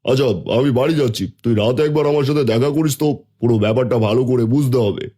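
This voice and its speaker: A man playing a fair-minded village headman in a cartoon fairy tale, asking questions in a calm, measured way. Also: mid-range voice, slightly rough, close to an everyday voice. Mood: concerned.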